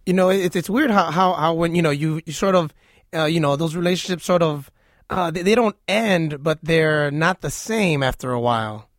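A man's voice: fairly high pitch, normal speed, no echo, studio quality. An American man hosting a radio call-in, chatting in casual slang and repeating words as he forms his questions. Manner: conversational, repeating words while forming questions. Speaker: radio host interviewing a caller. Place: radio studio.

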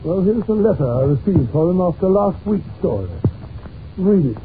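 An actor playing a genial, patient English gentleman narrator in an old-time radio drama, with a British accent and a fond, reminiscing manner, on a muffled recording. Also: high-pitched, animated, moderate pace, noisy background. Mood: fondly reminiscing.

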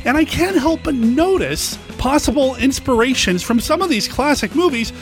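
A man's voice: high voice, fairly bright tone. A man talking as a podcast host about films, in a conversational, enthusiastic fan's way. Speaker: podcast host, film segment presenter. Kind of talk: film review podcast monologue. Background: music under the voice.

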